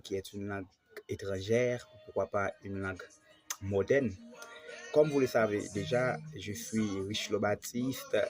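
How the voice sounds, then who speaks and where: A man from Haiti, a Spanish teacher, giving a televised grammar lesson in a repetitive style that stresses key words. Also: on the low side.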